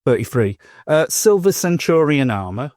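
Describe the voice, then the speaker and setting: A man's voice: a little high, very animated, normal speed, a little mellow, no room sound, clean studio sound. A man co-hosting a modelling podcast, telling shopping stories in a chatty, rambling way. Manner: chatty storytelling with laughs and restarts. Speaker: podcast co-host. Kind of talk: casual chat.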